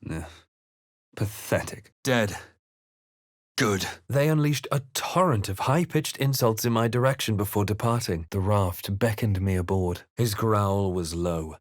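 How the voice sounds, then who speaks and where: Brief: male actor as resolute RPG hero, lowish voice, measured dramatic delivery, brooding game narration, very dry studio sound. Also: no echo, lightly acted. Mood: dry, terse. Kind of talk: scripted video game character lines and narration.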